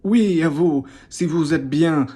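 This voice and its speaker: A woman's voice: low voice, slow, dark tone, husky, exaggerated voice. A woman playing a businesslike, indifferent innkeeper passing on orders in an amateur radio play. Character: matter-of-fact innkeeper bearing an officer's orders.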